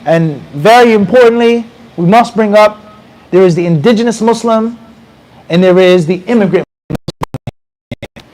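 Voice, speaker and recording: A man in his thirties with an American accent, giving an animated, conversational lecture in a mosque and reciting Arabic passages. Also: high-pitched, unhurried, soft background music, rough recording. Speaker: mufti teaching a class. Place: small mosque room with bookshelves. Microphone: handheld mic close to mouth.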